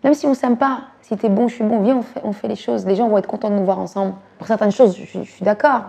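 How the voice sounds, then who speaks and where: A woman from Belgium in her thirties, talking casually and quickly about music and dance, using slang and repeating words. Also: medium pitch, fairly even intonation, gravelly, mellow, slightly rough. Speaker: actress and director talking about her influences. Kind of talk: casual chat.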